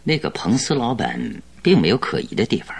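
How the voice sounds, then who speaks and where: An actor playing a shrewd, secretive male detective in a radio drama, with a husky, unhurried, coaxing delivery. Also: medium pitch, huge pitch swings, heavily acted. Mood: calm, scheming. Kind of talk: Mandarin radio drama acting.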